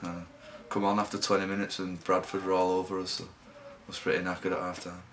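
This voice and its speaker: A young man from England, a rugby league player giving low-key, halting interview answers. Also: completely flat delivery, fairly bright tone, a little hiss or hum.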